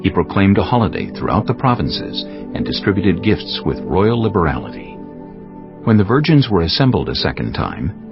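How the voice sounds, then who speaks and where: A male narrator reads a dramatized Bible story with formal, deliberate phrasing. His deep voice moves expressively up and down. The recording is a little muffled, with music running underneath. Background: prominent background music.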